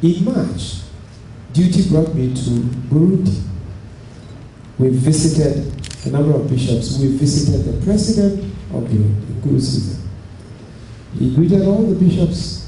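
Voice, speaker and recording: A middle-aged Ghanaian man giving a public lecture, measured and deliberate, growing animated when telling stories. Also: fairly high pitch. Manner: measured and deliberate, rising with enthusiasm in anecdotes. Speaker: lecture speaker. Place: lecture hall. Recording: far echoey hall with noise.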